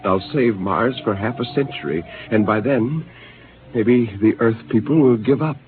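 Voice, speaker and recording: An actor playing a bitter, disillusioned astronaut who has turned rebel, speaking in an intense, brooding and defiant way in a 1950s radio drama. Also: male voice, mid-range voice, moderate pace, close to an everyday voice. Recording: hissy, lo-fi 1950s radio broadcast.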